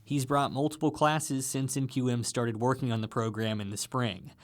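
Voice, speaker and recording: A man's voice: medium pitch, fairly even intonation, normal speed. Male radio reporter narrating a feature. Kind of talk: scripted narration.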